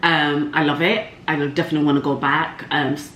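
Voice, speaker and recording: A woman's voice: low-pitched, fairly even intonation, fairly quick, a bit bright. A woman chatting casually to viewers in a candid, cheeky, slangy way, like a vlog host. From Somalia. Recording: echoey room, faint background noise.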